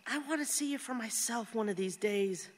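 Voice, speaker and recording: A woman's voice: a little high, moderate pace, natural voice. An actress playing a tender, wry, plain-spoken elderly grandmother who carries grief, in a radio play. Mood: wistful, affectionate. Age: older. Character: tender elderly grandmother, wry, grieving, snappish with her husband. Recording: long hall-like reverb.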